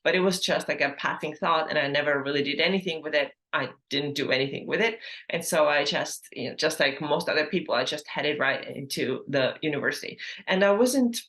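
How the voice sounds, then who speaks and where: A woman's voice: low-pitched, a little fast, hardly any pauses, a bit bright. Chatty Estonian-born woman podcaster. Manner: chatty, lots of fillers and self-corrections. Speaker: podcast host. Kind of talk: casual solo podcast monologue.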